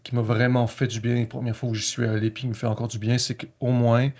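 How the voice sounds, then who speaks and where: A man's voice: medium pitch, level tone, fast talker, darkish tone. A man with a Québécois accent reflecting personally in conversation, feeling his way through sentences and often correcting himself. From Quebec, Canada.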